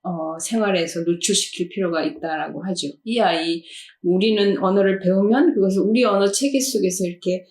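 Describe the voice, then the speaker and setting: A woman professor explaining child development in a calm, measured way. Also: medium pitch, echoey room. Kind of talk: expert explanation in a discussion.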